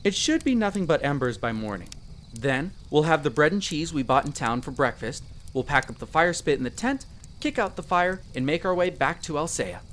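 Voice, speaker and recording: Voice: mid-range voice, sing-song, normal speed, slight background noise, lightly acted. A performer playing a friendly, protective young elf explorer in a roleplay audio drama, chatty and reassuring, speaking to the listener. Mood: warm, reassuring.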